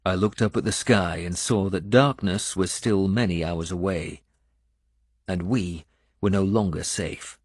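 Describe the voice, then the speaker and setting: A man reading a scripted first-person audiobook in a measured storytelling manner. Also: low-pitched, breathy edge, no room sound, studio quality. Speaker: audiobook narrator.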